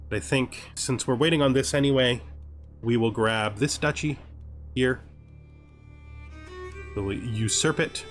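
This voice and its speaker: Guy doing chill let's play commentary, kinda thinking out loud, average pitch, music playing behind him. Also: unhurried, pauses often. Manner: thinking aloud to himself. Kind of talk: casual gaming commentary.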